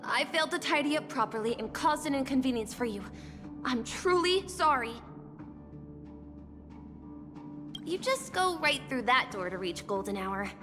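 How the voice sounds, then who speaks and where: A woman's voice: high-pitched, animated, normal speed. A woman voicing an eager young female guide at a dream hotel in a video game, polite, earnest and apologetic. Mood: flustered, apologetic. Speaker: guest guide. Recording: long hall reverb, loud music behind.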